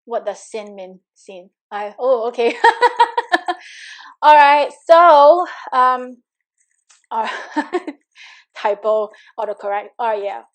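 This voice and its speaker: A woman's voice: on the high side, animated, slow, long pauses. A woman with a Singaporean accent coaching a live online class, chatty and encouraging, reading viewer comments aloud with frequent fillers. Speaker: money coach.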